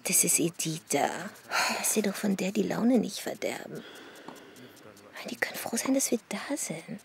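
An actress playing a breezy, dismissive young German woman in a radio drama, with some creak in her voice. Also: medium pitch, expressive, normal speed, in a whisper.

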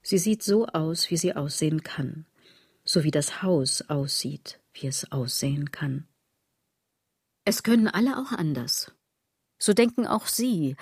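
A low-pitched, sardonic woman delivers a literary radio monologue in a close, dry sound. She is deliberate and declamatory, musing straight at the listener and letting phrase ends sink almost to a whisper. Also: vocal fry, a little mellow, husky, no room sound, heavily acted. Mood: musing, ironic. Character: musing, sardonic female speaker addressing the listener directly.

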